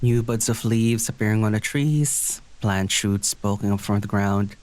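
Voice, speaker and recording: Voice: medium pitch, a little slow, darkish tone, close to an everyday voice. An actor playing an anxious, withdrawn young diviner in an audio drama, hesitant in dialogue and lyrical in narration. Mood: brooding, lyrical.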